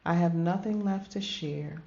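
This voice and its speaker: A woman voicing a kind, generous woman cook in a children's storybook, gentle and expressive. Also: lowish voice, unhurried, gravelly, background noise. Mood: sorry, blue. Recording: dull lo-fi audio with music behind.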